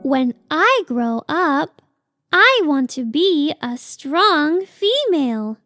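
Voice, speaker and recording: A woman's voice: sits high, big pitch swings, drawn-out words, fairly bright tone, dry recording, clean studio sound, faint music behind, heavily acted. A woman voicing a cute, earnest young female sloth baby in a children's picture book read-aloud, with simple, childlike phrasing. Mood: hopeful, earnest.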